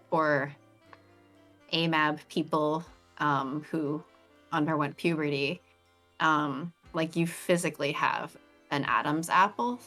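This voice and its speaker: A young woman chatting casually on a game stream, talking in a melodic, animated way with lots of pitch variation. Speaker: streamer co-host.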